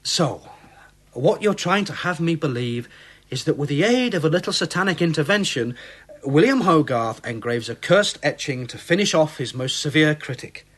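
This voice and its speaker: An actor playing a skeptical police inspector in a radio play, clipped and dismissive. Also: male voice, fairly high pitch, animated, normal speed, a bit bright. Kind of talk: radio drama acting.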